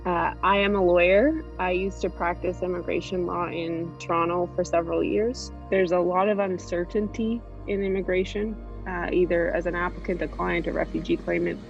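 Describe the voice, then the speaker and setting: A woman lawyer explaining in a measured, matter-of-fact way. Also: fairly low pitch, fairly even intonation, creaky, soft background music. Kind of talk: explanatory interview. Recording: dull lo-fi recording.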